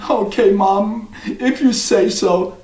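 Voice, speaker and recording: A man voicing a poor, naive young boy who stammers and gushes with excitement. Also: very high-pitched, unhurried, far from the mic, voice pushed up. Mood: earnest. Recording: echoey room, voice off-mic.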